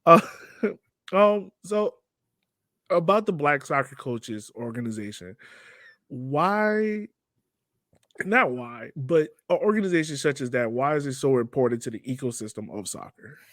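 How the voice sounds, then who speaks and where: A man's voice: mid-range voice, expressive, unhurried, fairly bright tone, no room sound, studio quality. A man with an American accent hosting a casual podcast interview. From Richmond, Virginia, United States.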